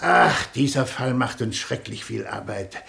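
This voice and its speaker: A man's voice: medium pitch, steady tone, unhurried, gravelly, heavy background noise. An actor playing a self-important, suspicious but bumbling police chief inspector in a comic radio drama, officious and clipped in his questioning. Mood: weary, probing.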